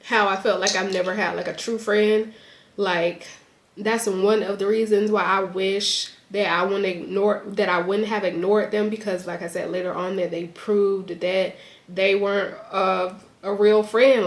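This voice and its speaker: A woman's voice: medium pitch, fairly even intonation, a little slow. Chatty young woman, slightly congested. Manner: chatty, lots of "like" and "um". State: a bit congested. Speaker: YouTuber. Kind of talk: casual sit-down chat to camera. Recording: echoey room.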